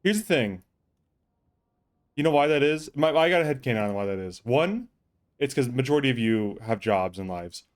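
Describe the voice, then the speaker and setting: A man's voice: medium pitch, lively intonation, moderate pace. A man hosting a live gaming stream, rambling through casual chat with friends and swearing freely as he thinks aloud. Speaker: streamer host.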